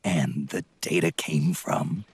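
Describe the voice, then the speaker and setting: A man voicing a gruff, weary aging veteran soldier in a video game, terse and brooding, with a gravelly, husky voice. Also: rumbling low, slow, no echo, exaggerated voice. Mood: suspicious. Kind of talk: video game cutscene acting.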